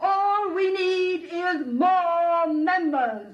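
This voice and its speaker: A woman's voice: extremely high pitch, extremely slow, full character voice. An actress playing a zealous clubwoman making a fervent, declamatory platform speech in a 1950s radio drama. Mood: rousing, grand. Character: zealous clubwoman orator rallying her followers. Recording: echoey hall from far off, muffled radio.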